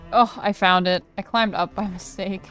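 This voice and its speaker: A woman's voice: mid-range voice, steady tone, moderate pace, fairly bright tone. A young woman doing casual let's play commentary, slangy, reactive and given to swearing. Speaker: gaming streamer. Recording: game music behind the voice.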